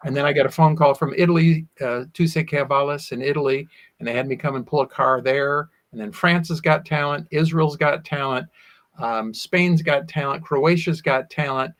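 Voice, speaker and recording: Man, slightly high voice, talkative interview guest, anecdotal storytelling, quotes himself. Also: normal speed, a bit bright. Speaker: guest, sword swallower.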